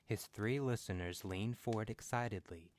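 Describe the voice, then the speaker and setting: Low-voiced man narrating a story. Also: normal speed, a little mellow, slight background noise. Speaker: podcast story reader. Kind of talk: scripted literary narration.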